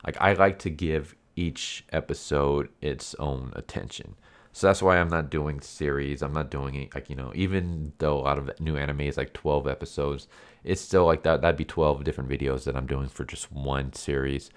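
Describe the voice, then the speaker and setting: Man, extremely low pitch, stuffy congested nose, sick with a cold, casual rambling reviewer talk. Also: fairly even intonation, moderate pace, clear, resonant tone. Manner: rambling, repeats and rephrases himself. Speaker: YouTube anime movie reviewer and channel host.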